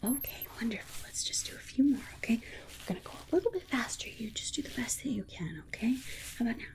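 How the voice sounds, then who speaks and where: A woman's voice: a little high, sing-song, fast, creaky, a little mellow. A woman voicing a soothing, condescending female alien scientist in a whispered ASMR exam roleplay, coddling and reassuring with murmured asides.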